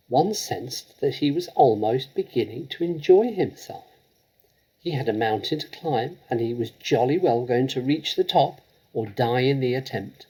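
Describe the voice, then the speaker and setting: A man narrating a children's story expressively, with dramatic, measured delivery. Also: mid-range voice, warm tone, breathy edge, rough recording. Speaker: storybook reader.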